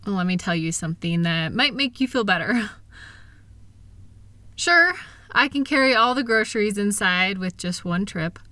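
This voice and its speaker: A woman plays an affectionate, capable wife in a comfort roleplay. Her voice is bright and recorded very dry and close. She is gentle and reassuring, with a light teasing touch. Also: medium pitch, animated, normal speed, no echo. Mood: soothing, reassuring. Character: kind, upbeat, capable wife soothing her insecure partner. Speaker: audio roleplay performer. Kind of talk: intimate comfort audio roleplay.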